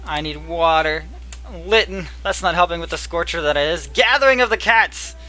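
Bright-voiced young man opening card packs. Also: a little high, normal speed, poor audio. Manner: chatty, murmurs card names, bursts into excitement. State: losing his voice. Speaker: card pack opener. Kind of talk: casual pack-opening commentary. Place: small room at a table. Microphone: headset.

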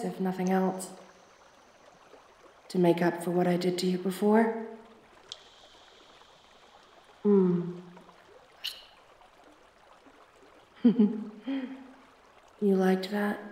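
A woman's voice: a little high, darkish tone. Woman acting a theatrical femme fatale, roomy echo. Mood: sultry, teasing. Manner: theatrical, pleading or teasing. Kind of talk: audio roleplay acting addressed to the listener. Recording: roomy echo, distant from mic.

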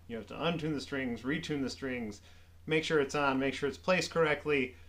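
Plainspoken man reviewing guitar gear. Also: on the high side.

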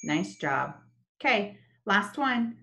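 Slow sing-song woman teacher. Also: medium pitch, very animated, creaky, pushed and bright. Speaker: special education teacher. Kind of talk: online lesson with screen share. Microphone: laptop webcam, video call.

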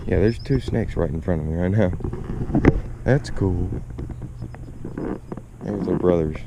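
A young man, excitedly narrating a fishing trip to camera beside an outdoor pond, filmed on an action camera. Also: deep voice, measured pace, heavy background noise. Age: young adult. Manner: excitable, slangy, addresses viewers as y'all. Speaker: fishing vlogger. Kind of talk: casual self-filmed narration. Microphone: action camera on chest and at arm's length.

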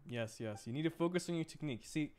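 Animated young-sounding male game streamer, lively pitch. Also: fast, slight background noise. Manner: giggly, animated, easily amused. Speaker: livestreamer, VTuber host. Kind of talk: casual game stream chat, reacting to play and chat. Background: game music.

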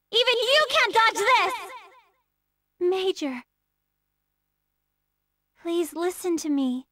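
A woman's voice: very high voice, dry recording, clean studio sound, exaggerated voice. An actress playing an earnest, easily flustered young female military officer in emotional, dramatic video game battle lines. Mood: urgent, determined. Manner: emotional, dramatic, swinging from polite pleas to shouts.

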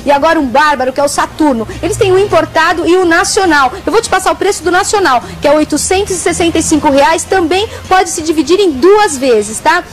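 A woman, giving a chatty, emphatic TV shopping pitch in a shop. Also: a little fast, few pauses, faint music behind. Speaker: TV shopping presenter. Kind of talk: chatty promotional sales pitch. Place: video game shop, indoors.

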